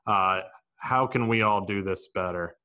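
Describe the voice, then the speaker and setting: Bright-voiced American male podcast host. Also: on the low side, measured pace, clear, resonant tone. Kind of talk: moderating a panel discussion. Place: small office studio. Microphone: large desk microphone, close.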